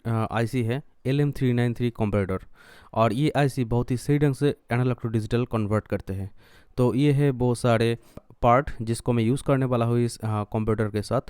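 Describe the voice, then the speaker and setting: A man's voice: mid-range voice, darkish tone, clear, resonant tone, no echo, studio quality. A man narrating a do-it-yourself tutorial step by step, mixing English terms and phrases into his speech. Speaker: electronics tutorial host.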